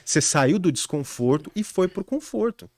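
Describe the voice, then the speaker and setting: Young adult Brazilian man, podcast guest; earnest, animated, dry studio mic. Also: a little high, moderate pace, no room sound. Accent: Brazilian Portuguese. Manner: earnest, animated advice-giving with frequent restarts. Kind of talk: casual podcast conversation.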